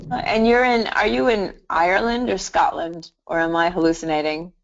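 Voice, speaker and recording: A woman's voice: lowish voice, fairly even intonation, normal speed, vocal fry, muffled recording. A middle-aged woman teaching hypnotherapy on a live online call, telling stories in a chatty way with many restarts and "you know". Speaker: hypnotherapy instructor.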